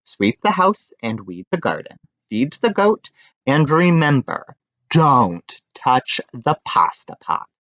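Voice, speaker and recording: A man's voice: medium pitch, very animated, slow pace, fairly bright tone, dry recording, muffled recording. A man voicing a stern old grandmother witch from a storybook, giving firm orders item by item. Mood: firm warning. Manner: instructing firmly, item by item.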